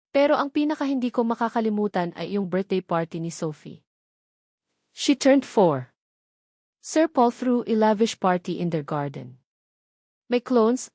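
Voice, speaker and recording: A woman's voice: mid-range voice, darkish tone, dry recording, clean studio sound. A woman with a Filipino accent reading a scripted first-person romance story as its storyteller.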